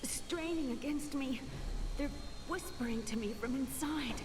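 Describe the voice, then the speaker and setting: An actress playing a burdened young magic bearer in a video game, speaking with strained, anguished intensity. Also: female voice, sits high, measured pace. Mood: strained distress. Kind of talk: video game drama acting. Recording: slightly distant under loud music. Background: loud dramatic music.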